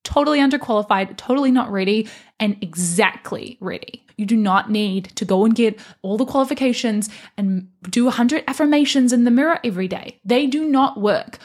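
Breathy woman giving earnest motivational talk. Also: a little high. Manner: earnest, encouraging, emphatic. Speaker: podcast host and life coach. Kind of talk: solo motivational podcast talk.

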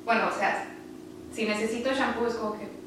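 A woman's voice: a little high, steady tone, fairly quick, far from the mic, background noise. A young woman from Ciudad Juárez with a northern Mexican accent, chatting in a playful, slangy way with bits of English. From Ciudad Juárez, Chihuahua, Mexico. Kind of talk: casual chat with English slang mixed in. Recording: very echoey room, off mic, music behind.

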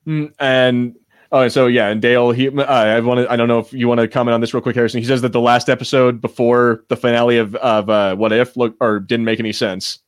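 Male co-host, medium pitch, loose rambling chat, slangy and a bit sweary. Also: level tone, a little fast, smooth. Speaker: podcast co-host. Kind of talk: casual pop-culture chat.

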